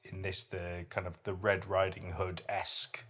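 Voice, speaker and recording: A middle-aged man with a low voice hosts a podcast. He speaks in a casual, reflective and slightly hesitant way, and also reads poetry aloud. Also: level tone, unhurried, bright, forceful tone. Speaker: podcast host. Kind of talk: casual chat and poetry reading.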